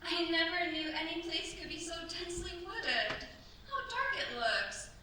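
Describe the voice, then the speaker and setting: An actor playing a dutiful, anxious young French bride in a staged radio play, speaking politely and hesitantly. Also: female voice, extremely high pitch, lively intonation, unhurried, sharp tone, exaggerated voice. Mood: uneasy, wistful. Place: staged performance in a cultural centre. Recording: very echoey hall, distant mics, faint hiss.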